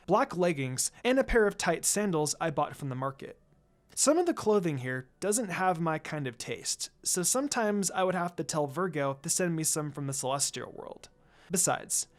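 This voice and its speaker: Expressive storyteller with wide-swinging pitch. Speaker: fan fiction reader. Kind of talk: dramatised story narration in first person.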